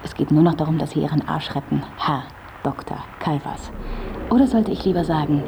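A career-hungry reporter in a radio drama, with a low-pitched voice. She is sardonic and provocative, pressing her accusations with pointed mockery. There is clear noise behind her. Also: brisk. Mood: accusing, sardonic. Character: sharp, mocking career-driven female journalist confronting a writer. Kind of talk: German radio play acting.

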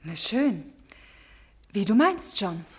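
Animated, husky-voiced party hostess. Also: female voice, medium pitch, takes it slowly, full character voice. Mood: resigned. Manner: animated, urging. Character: poised, brisk party hostess wife. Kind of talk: radio play acting.

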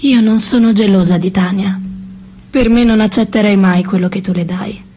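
Radio drama: female actor as a young mistress, husky medium-pitched voice, frank and possessive. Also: fairly even intonation, normal speed, warm tone, natural voice. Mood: frank, jealous.

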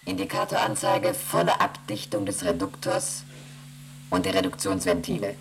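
A man voicing an emotionless onboard computer in a radio play, reading out readings and rules in a flat, mechanical way. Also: sits low, moderate pace, creaky, slight background noise. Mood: flat reporting. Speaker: ship's computer.

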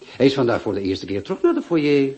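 Husky, wry gentleman friend. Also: medium pitch, sing-song, quick pace, highs cut off. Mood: amused, gossiping. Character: wry, soothing gentleman friend gossiping and advising. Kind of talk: Dutch-language radio play acting.

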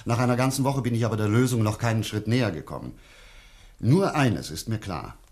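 An actor playing a polite, probing doctor who investigates a mystery in a radio play, speaking with courteous curiosity. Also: male voice, on the low side, animated, a little fast, a little mellow. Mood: earnest, probing. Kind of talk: German radio play acting.

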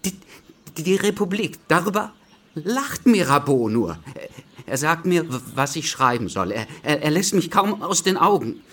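An actor playing an eager young revolutionary lawyer with a halting stammer in a radio drama. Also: male voice, fairly high pitch, very animated, fairly bright tone. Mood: troubled. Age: young adult.

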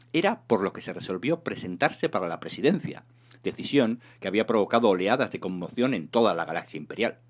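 Low-voiced man narrating with lively swings. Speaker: reader of a serialized humorous sci-fi novel. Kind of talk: expressive scripted narration, audiobook reading.

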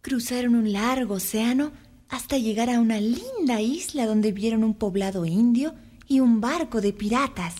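A woman storyteller reads a children's tale in a fairly high voice. Her intonation is very animated and her phrasing is careful and expressive. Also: moderate pace. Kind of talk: scripted children's story narration.

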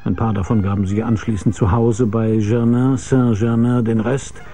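An actor playing a hard-boiled, world-weary private detective, narrating a radio drama in a dry, sardonic, laconic way. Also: male voice, mid-range voice, level tone, moderate pace, warm tone, faint music behind, natural voice. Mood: scornful, dry.

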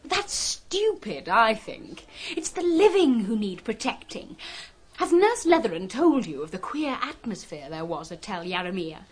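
A woman's voice: mid-range voice, sing-song, unhurried, breathy edge. A woman playing a cool, outspoken young society woman in a radio drama, with an upper-class English accent and a sardonic manner. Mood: cool, provocative. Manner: blasé, sardonic, blunt.

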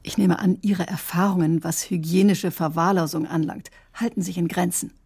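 An actress playing a brusque, sardonic emergency doctor who recounts a case in clipped, matter-of-fact radio drama narration. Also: female voice, fairly low pitch, steady tone, moderate pace, a little mellow, husky. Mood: dry, defensive. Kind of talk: German radio drama, dry first-person narration.

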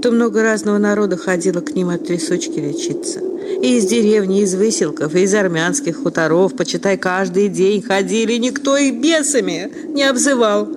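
An actress playing an exasperated, scornful village sexton's wife in a radio drama, mocking and scolding. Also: female voice, mid-range voice, normal speed, lightly acted. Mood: dismissive. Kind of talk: theatrical radio drama dialogue. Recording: clear background noise and ambience.

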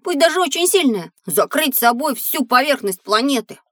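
Wondering schoolboy in a kids learning audio drama, high voice, eager, no room echo. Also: female voice, expressive, normal speed, a bit bright, clean studio sound. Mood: amazed, curious. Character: eager, wondering little boy pupil. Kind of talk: scripted educational audio drama.

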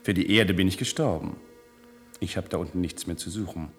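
An actor playing an unruffled, sardonic exile in a space city in a radio play, matter-of-fact and dryly casual. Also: male voice, low voice, brisk, faint music behind.

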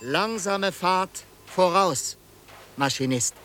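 A man's voice: sits high, takes it slowly, pushed and bright, slight background noise, full character voice. An actor playing a resolute ship's helmsman in a radio drama, speaking in a clipped, commanding way. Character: resolute ship's helmsman giving brisk orders. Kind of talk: 1990s German radio play acting.

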